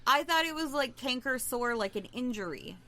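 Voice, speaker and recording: A woman's voice: high-pitched, lively intonation, moderate pace, sharp tone, a little hiss or hum. A woman co-hosting a podcast, casually recapping a movie in an irreverent, jokey, sweary way with self-deprecating humor. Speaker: podcast co-host.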